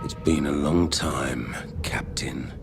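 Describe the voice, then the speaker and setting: An actor playing a gruff, terse, stoic hardened ex-convict warrior in a fantasy video game. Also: male voice, rumbling low, steady tone, slow pace, noisy background, exaggerated voice. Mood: terse, guarded.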